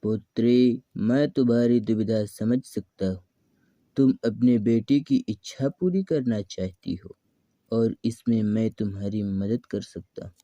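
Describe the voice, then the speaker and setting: A man voicing a calm, benevolent tree god in a cartoon moral story, speaking in a measured, formal, fatherly way. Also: fairly low pitch, no echo, lo-fi sound, natural voice. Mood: kindly, reassuring. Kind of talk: Hindi animated moral story acting.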